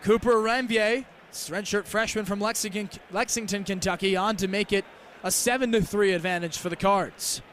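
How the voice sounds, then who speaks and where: A young man does live radio play-by-play of American football in a distinctly high voice. His delivery is crisp and packed with detail. Stadium ambience sits faintly behind him. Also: steady tone, normal speed, a bit bright. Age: young adult. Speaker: play-by-play sports announcer. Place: stadium game broadcast. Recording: live outdoor broadcast with background noise.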